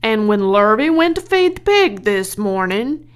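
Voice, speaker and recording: An earnest farmer man from a children's storybook, acted out by a woman reader. He is solemn and awestruck, speaking slowly and haltingly, with stammered starts. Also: mid-range voice, big pitch swings, clean tone. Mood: awed.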